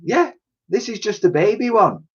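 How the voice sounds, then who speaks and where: A man's voice: sits high, animated, moderate pace, husky, dull audio, voice pushed up. A man voicing a plucky, cheeky and slightly haughty little girl in a children's storybook. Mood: haughty, matter-of-fact.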